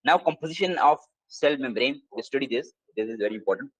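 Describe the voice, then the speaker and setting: A man's voice: mid-range voice, lively intonation, fast talker, fairly bright tone, husky, dry recording, low-quality recording. A man giving a live online biology lecture from his desk. He drills each point by repeating it, and his English phrasing is slightly non-native. His computer mic sounds dry and close, with no room sound at all. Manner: repetitive, drilling key points. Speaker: exam prep tutor.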